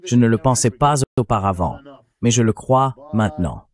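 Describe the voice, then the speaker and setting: A man's synthetic dubbing voice reading political commentary in a measured, articulate way. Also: on the low side, expressive. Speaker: voice-over translator for a panelist.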